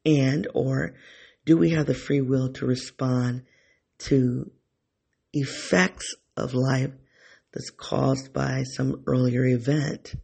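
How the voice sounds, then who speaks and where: Man with a very gravelly, husky voice. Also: mid-range voice, fairly even intonation, takes it slowly, a little mellow. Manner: thinks aloud, rephrases and restarts often; talks directly to listeners, informal. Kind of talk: reflective solo monologue on philosophy.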